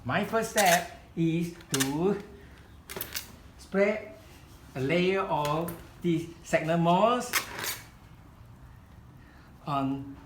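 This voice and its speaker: Slow, halting man with a Chinese accent. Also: a little high, reverberant, background noise. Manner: halting, searching for words, many restarts. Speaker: kokedama workshop founder demonstrating. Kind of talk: live hands-on craft demonstration. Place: room at a home demonstration event.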